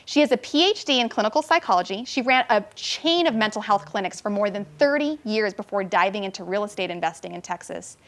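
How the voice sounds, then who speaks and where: A woman's voice: medium pitch, lively intonation, fast talker, hardly any pauses, fairly bright tone. A woman talk show host giving an upbeat, scripted introduction of her guest.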